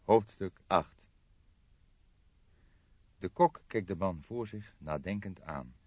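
A man's voice: low voice, takes it slowly, darkish tone, husky. A man reading scripted literary narration as an audiobook narrator. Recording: dull, roomy audiobook recording.

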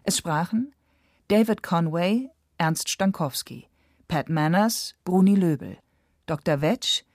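Woman announcer, lowish pitch, very measured pace, formal credit reading, dry sound. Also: animated, darkish tone, no echo. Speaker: radio announcer.

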